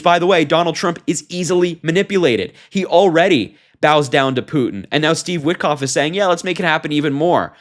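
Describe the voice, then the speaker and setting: Indignant male political commentator, emphatic delivery. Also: fairly high pitch. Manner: indignant, emphatic, exclaiming in disbelief. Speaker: political commentary show host. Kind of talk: reading a transcript aloud with opinionated commentary.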